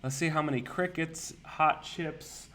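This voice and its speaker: A man's voice: a little high, fairly even intonation, a little slow, bright, forceful tone. A young man hosting a live stream, casually reading out viewer comments and names. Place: indoor room with a virtual city backdrop.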